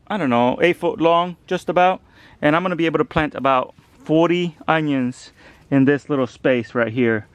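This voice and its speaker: A man in his thirties from El Salvador, chatting through a casual garden vlog outdoors and gently coaxing a toddler to help. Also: fairly high pitch. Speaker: home gardener vlogger.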